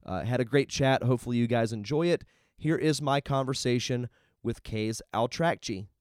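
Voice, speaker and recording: A man's voice: medium pitch, normal speed, background noise. A man hosting a podcast in a conversational interviewing style, speaking close to the microphone. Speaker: podcast host.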